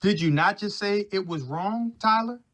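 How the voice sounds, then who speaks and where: A man's voice: high voice, moderate pace, fairly bright tone. A man arguing on a recorded phone call, pressing his questions insistently and repeating himself. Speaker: caller in a heated phone dispute. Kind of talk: argumentative phone conversation.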